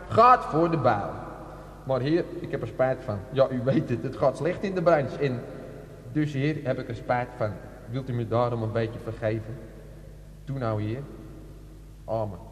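An older used-car dealer in a comic radio play. His fairly high voice rings through a reverberant hall as he makes chatty, self-excusing confessions in salesman's patter. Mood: contrite. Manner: salesman patter with colloquial asides. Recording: echoey hall with long reverb.

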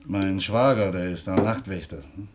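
Actor as a coaxing, low-voiced male pal. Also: normal speed, noisy background, lightly acted. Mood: persuasive. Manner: colloquial, coaxing, tag questions. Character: persuasive, practical working-class drinking buddy. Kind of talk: naturalistic German radio play dialogue.

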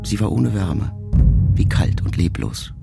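An actor playing a melancholy, reflective writer son in a radio drama, speaking in measured, intimate, halting phrases as if to his mother. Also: low voice, very animated, creaky, over loud music, exaggerated voice. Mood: quietly bitter.

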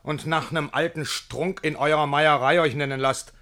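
An actor playing a worldly, sceptical friend in a classic verse radio comedy, speaking with measured irony and elegant declamation. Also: male voice, fairly high pitch, fairly even intonation, bright, forceful tone. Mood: teasing. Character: worldly, sceptical male friend, teasing voice of reason.

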